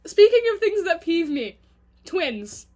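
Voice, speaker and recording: A woman's voice: very high-pitched, sing-song, dark tone. A young woman with a Canadian accent, talking to her camera at home in a chatty, self-mocking, rambling monologue. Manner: chatty and self-mocking, quick giggly asides. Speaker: vlogger.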